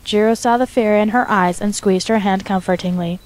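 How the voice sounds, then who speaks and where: A woman's voice: mid-range voice, fairly even intonation, moderate pace, a little mellow. Woman narrating a dramatic story.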